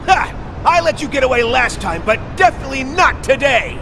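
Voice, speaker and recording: Male for hot-headed young game echidna: gritty, high-set, wildly animated, blunt. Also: fairly quick, crowd noise, background noise. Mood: combative. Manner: blunt, combative. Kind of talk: video game cutscene acting. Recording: game music behind voice.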